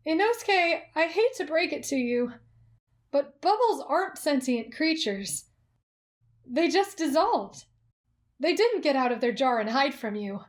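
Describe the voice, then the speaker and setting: A woman voicing a gentle, tactful young companion in an anime fan roleplay, polite and coaxing. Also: high-pitched, sing-song, a little slow. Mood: reluctant, reasoning.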